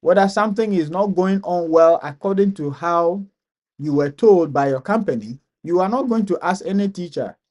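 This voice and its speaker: A man from Ghana hosting a live stream Q&A, explaining steps slowly and repeating key points. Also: sits high, darkish tone. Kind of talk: live Q&A advice.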